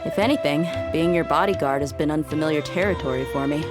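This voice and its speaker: A woman's voice: low-pitched, quick pace, loud music behind the voice, lightly acted. A woman playing a tough, easygoing and bold desert mercenary in a video game, speaking in a casual, self-assured way. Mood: reassuring.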